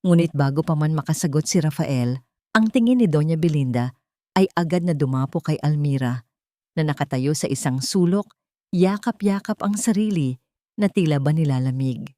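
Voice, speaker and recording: A woman's voice: low voice, normal speed, dark tone, no echo, clean studio sound. A woman with a Filipino accent reading scripted, dramatic story narration.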